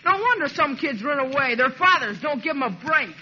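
A whiny American teenage son in vintage radio comedy. His voice is very high and sharp, sulky in temper. The recording is dull with a faint hiss. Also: animated, a little fast, heavily acted. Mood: whining, sulky.

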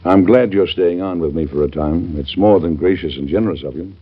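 An actor playing a newly inaugurated American president, courteous, earnest and formal, in a vintage radio drama. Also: male voice, deep voice, brisk, slightly rough. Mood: subdued, grateful. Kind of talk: 1950s radio drama acting. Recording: muffled vintage radio recording.

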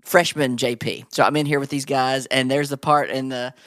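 A man's voice: medium pitch, steady tone, moderate pace, a bit bright, no room sound, clean studio sound. A man co-hosting a casual music podcast, chuckling often and telling stories in an easygoing way. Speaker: podcast co-host. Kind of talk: casual chat and anecdotes.

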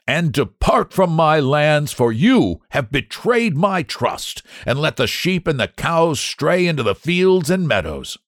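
A man playing an angry, commanding country squire in a storybook tale, calling out loudly. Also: fairly high pitch, sing-song, a little slow, hardly any pauses, no room sound, clean studio sound, voice pushed up, exaggerated voice. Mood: stern anger. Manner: loud, commanding calls.

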